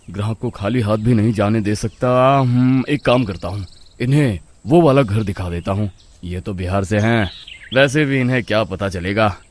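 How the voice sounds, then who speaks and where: A man's voice: lowish voice, a little mellow, lightly acted. A man playing a sly, scheming property dealer in a horror story, muttering and ingratiating like a salesman. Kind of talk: dramatised Hindi horror story.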